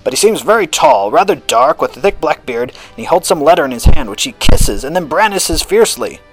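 An excitable old gentleman from a storybook, put on by a man reading aloud. He sounds anxious and exclamatory, speaking in a formal, old-fashioned way with plenty of drama. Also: fairly high pitch, a little fast, creaky, husky, faint music behind, exaggerated voice, poor audio. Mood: observant, intrigued. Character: excitable elderly gentleman host, anxious eyewitness.

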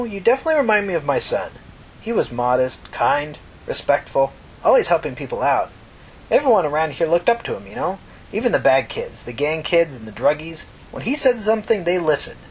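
A man's voice: on the high side, very animated, fairly quick, a little hiss or hum, low-quality recording. A man voicing a tired, solemn, talkative old woman with a folksy, earnest manner in a fiction reading. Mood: fond, proud.

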